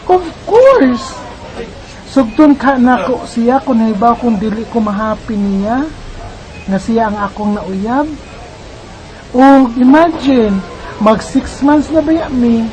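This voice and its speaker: A woman's voice: a little high, slow pace, pauses often, darkish tone, slight background noise, low-quality recording. An actress with a Filipino Visayan accent playing an earnest, trusting young woman in love in a radio drama. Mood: defensive, chatty. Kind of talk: Bisaya radio drama acting and narration.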